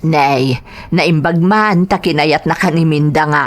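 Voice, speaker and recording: A woman's voice: deep voice, unhurried, slight background noise. A woman playing a worried, scolding mother of a grown son in a radio drama, with an Ilocano accent. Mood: scolding, doubtful.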